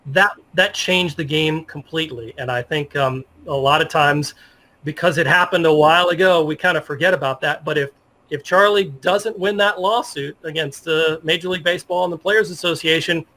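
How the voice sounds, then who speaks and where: A middle-aged man speaking as an interview guest in a casual, halting, self-effacing way about sports. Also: a little high, fairly even intonation, bright, forceful tone.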